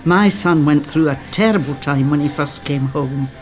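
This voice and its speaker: A woman voicing a warm, emotional middle-aged mother. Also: low-pitched, normal speed, distant mic, over music, natural voice. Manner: quietly emotional.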